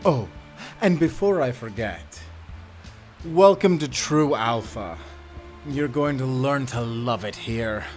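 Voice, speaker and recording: A man playing a sadistic prison warden in an audio drama, gloating and mocking, with menacing, drawn-out delivery. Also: mid-range voice, animated, a little mellow, soft background music. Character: gloating sadistic male prison warden who relishes power. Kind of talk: scripted audio drama acting.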